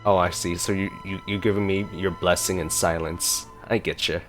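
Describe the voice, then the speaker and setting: A man's voice: low voice, steady tone, moderate pace, soft background music. A man giving casual gameplay reaction commentary as a streamer, informal and exclamatory, easily impressed. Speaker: gaming streamer.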